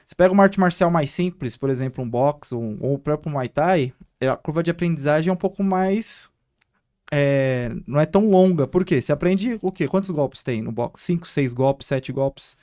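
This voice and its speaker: High-voiced Brazilian man explaining eagerly. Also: normal speed. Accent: Brazilian Portuguese. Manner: explanatory, animated, asks listeners to agree. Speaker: podcast co-host, acupuncturist. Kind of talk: casual podcast chat.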